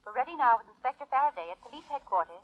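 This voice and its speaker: An actress playing a brisk, polite telephone operator in a 1940s radio drama. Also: female voice, sits high, expressive, a crowd in the background. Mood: businesslike. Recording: dull old radio recording.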